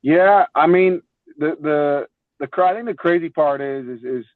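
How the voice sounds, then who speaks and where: A man's voice: fairly high pitch, moderate pace, no room sound. A young man from Florida chatting casually as a podcast guest, telling stories informally and often repeating words. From Florida, United States. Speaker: podcast guest, former baseball player. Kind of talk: casual chat, storytelling. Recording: tinny, phone-like call audio.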